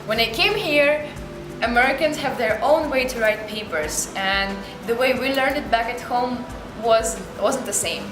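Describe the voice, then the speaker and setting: A woman's voice: fairly high pitch, a little slow. Young woman, Ukrainian accent, student testimonial, earnest, reverberant room, background music. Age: young adult. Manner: earnest, reflective, with frequent "like" fillers. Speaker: university student. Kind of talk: interview testimonial.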